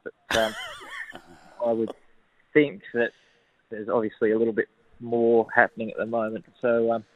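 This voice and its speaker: A man with an Australian accent, a racehorse trainer talking casually on the phone, relaxed and full of ums and uhs. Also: mid-range voice, no room sound. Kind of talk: phone interview. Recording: tinny phone line.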